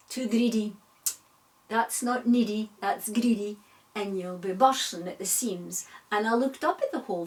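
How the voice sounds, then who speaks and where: A woman's voice: mid-range voice, far from the mic, lightly acted. A woman voicing a sly male barn spider with a Scottish accent, wheedling and insistent, repeating himself.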